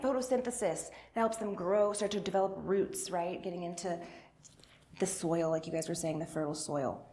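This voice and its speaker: A woman giving an interactive talk for all ages at church, informal and encouraging, prompting listeners with questions. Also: mid-range voice. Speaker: church faith and justice coordinator.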